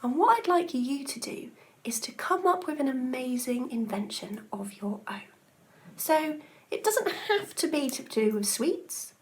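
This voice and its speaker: A woman with a high voice speaks as a teacher and story reader. She addresses children directly, explaining and setting a task. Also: expressive, measured pace. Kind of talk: reading to children and setting tasks.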